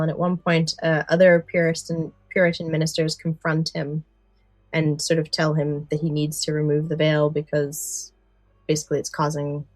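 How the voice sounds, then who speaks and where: A woman's voice: deep voice, no room sound, low-quality recording. A woman co-hosting a podcast in casual chat, recounting favourite stories and often correcting herself. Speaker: podcast co-host.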